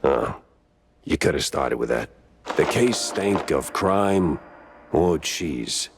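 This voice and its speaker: A deep, world-weary cartoon mouse detective. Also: male voice, expressive, slow pace, gravelly, noisy background, full character voice. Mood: weary, sardonic. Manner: dry, sardonic. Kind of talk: 1930s noir cartoon game voice acting.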